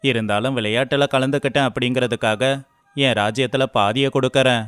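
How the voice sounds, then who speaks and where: A man playing a humble, principled and dignified king of a small realm in a moral tale, speaking politely and in measured words. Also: mid-range voice, fairly even intonation, fairly bright tone, clear, resonant tone, no room sound, soft background music, natural voice. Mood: earnest, firm. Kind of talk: moral story character dialogue.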